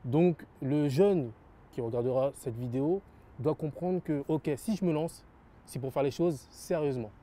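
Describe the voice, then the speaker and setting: Young man, french, dark mellow tone, earnest, repeats himself, lots of fillers. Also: a little high, moderate pace. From France. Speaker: rap label founder. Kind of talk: interview answers.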